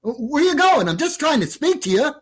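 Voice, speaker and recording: A woman's voice: high-pitched, very animated, brisk. A woman playing a dim, hot-headed male thug in a staged comedy reading, blustering and defensive. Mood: agitated, insistent.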